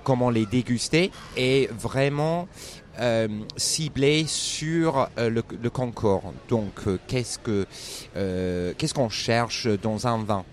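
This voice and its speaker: A man's voice: medium pitch, slow. A man with a British accent, speaking as a wine competition judge in an interview outdoors at a fair, hesitant with many restarts.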